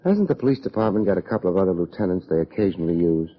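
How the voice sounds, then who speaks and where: An American actor playing a wry, self-deprecating private investigator in an old-time radio drama, with a deadpan, wisecracking delivery. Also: male voice, low-pitched, rapid-fire. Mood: dry, matter-of-fact. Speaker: lead actor, narrator. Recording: muffled old radio recording.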